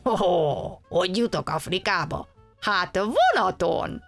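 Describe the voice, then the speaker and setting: A woman's voice: lowish voice, big pitch swings, faint music behind. A woman voicing a chubby, chocolate-loving little male storybook fellow who sighs and wails, then chuckles with glee. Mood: cheerful. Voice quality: sighing, wailing and chuckling.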